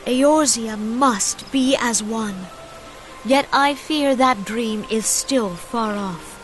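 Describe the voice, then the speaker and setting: A woman's voice: on the high side, slow. A woman voicing a gracious, earnest leader of a forest nation in a fantasy video game, speaking with solemn, archaic formality. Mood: solemn, troubled. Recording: loud music under voice.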